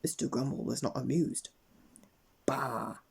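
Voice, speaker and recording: Husky man reading a bedtime story. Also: medium pitch, measured pace. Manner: expressive read-aloud. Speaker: storybook reader.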